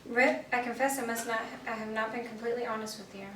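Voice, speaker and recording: A composed, businesslike female curator, played by a stage actress speaks quickly in a fairly high voice. Her delivery is formal, in the manner of a radio noir drama. The sound is roomy, as if miked from across a theatre. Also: level tone, fairly bright tone, close to an everyday voice. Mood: poised, confiding. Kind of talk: old-time radio drama acting on stage. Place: theatre stage, recorded from a distance. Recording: echoey stage, distant mic, faint noise.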